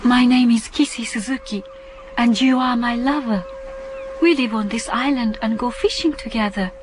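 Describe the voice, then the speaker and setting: A high-pitched, earnest young island woman, played by an actress, devoted and gently pleading. It is radio-drama acting over faint ambience. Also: moderate pace, background noise, lightly acted. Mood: tender, insistent.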